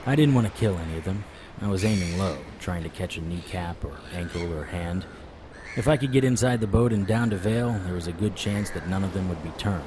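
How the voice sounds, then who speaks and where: An actor playing a weary, wry private investigator in a noir audio drama, terse and deadpan. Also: male voice, low voice, brisk, noisy background, lightly acted. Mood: grim, tense.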